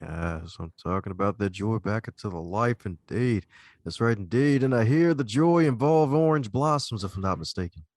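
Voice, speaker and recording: A man hosting a podcast interview, upbeat and quick to joke. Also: fairly low pitch, darkish tone, dry recording, clean studio sound. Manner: upbeat, jokey, laughs easily. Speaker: podcast host.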